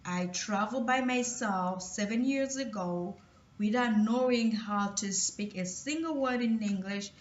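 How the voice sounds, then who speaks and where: A woman from Cameroon with a Cameroonian French accent, giving a halting personal motivational talk full of restarts. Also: mid-range voice. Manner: halting, many restarts and fillers. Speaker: motivational vlogger. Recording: roomy, distant, dull lo-fi sound.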